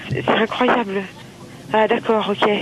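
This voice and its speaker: A woman's voice: medium pitch, fairly even intonation, measured pace. Polite, hesitant woman on a noisy phone line. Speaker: customer calling a shop, teacher. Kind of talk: unscripted phone conversation.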